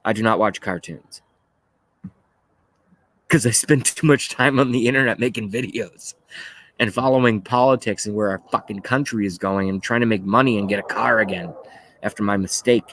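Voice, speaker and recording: Low-pitched man rambling on a livestream. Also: a bit bright. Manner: rambling, halting, often swearing. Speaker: livestream host. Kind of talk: casual political rant while reading live chat.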